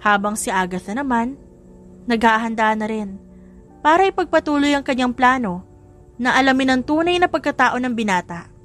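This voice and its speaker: A woman's voice: on the high side, lively intonation, moderate pace, fairly bright tone. A woman reading a scripted love story as a dramatic audiobook narrator. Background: music.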